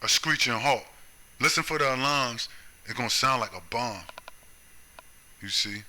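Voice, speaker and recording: A man's voice: medium pitch, measured pace, pushed and bright. An American man delivering a confrontational, profane solo monologue, punctuating his points with "right?" and "you see?". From United States. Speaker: video commentator.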